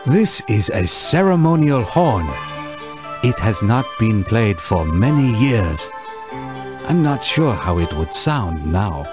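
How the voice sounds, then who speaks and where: An actor playing a kindly, dignified village elder in a children's game, speaking formally, with music behind him. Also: male voice, fairly low pitch, sing-song. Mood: grateful, reverent. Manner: formal, measured. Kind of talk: scripted children's game voice acting.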